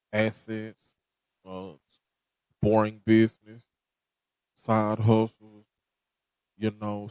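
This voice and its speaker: A man's voice: on the low side, a little mellow, smooth, dry recording, poor audio. A man hosting a podcast, giving a direct, motivational talk that repeats its points. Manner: preachy, direct, repeats himself. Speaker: podcast host.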